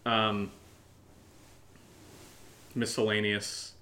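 A man's voice: fairly low pitch, flat delivery, extremely slow, bright, forceful tone. A man co-hosting a podcast in casual improvised chat, rambling and joking, recorded with a roomy echo. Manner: rambling, jokey. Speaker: podcast co-host. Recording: roomy, echoing sound.

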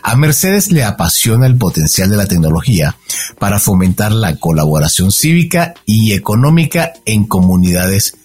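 Low-voiced man telling a guest's story like a fable, soft music in the background. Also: very animated, normal speed, breathy edge. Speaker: podcast co-host. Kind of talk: storybook-style introduction, then interview questions. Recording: faint music behind.

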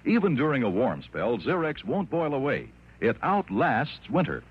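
Husky, animated male radio announcer. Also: mid-range voice, normal speed. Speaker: commercial announcer. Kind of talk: 1950s-60s radio advertising read. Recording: dull old radio recording.

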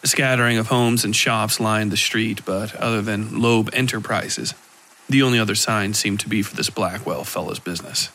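A man giving a scripted narration of a dark horror tale for a fiction podcast. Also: on the low side, moderate pace, rough recording. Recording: clear music behind the voice.

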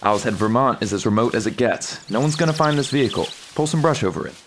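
A man plays an evasive charmer in a serialized audio thriller. His pitch moves around a lot as he placates and deflects with dry wit. There is clear noise behind the voice. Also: lively intonation, fast talker, natural voice. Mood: wry, assured. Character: evasive, smooth-talking man hiding out with secrets.

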